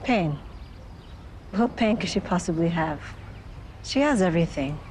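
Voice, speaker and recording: A woman's voice: fairly low pitch, expressive, measured pace, a little mellow, slight background noise. An actress playing a doubtful, dismissive woman in a film scene. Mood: skeptical. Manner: doubtful, curt. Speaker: film character.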